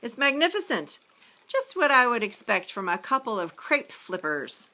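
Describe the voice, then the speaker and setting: Storybook role: loud, showy neighbor woman, put on by a female reader. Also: a little high, sing-song, moderate pace, pitched above the performer's own voice, full character voice. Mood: delighted.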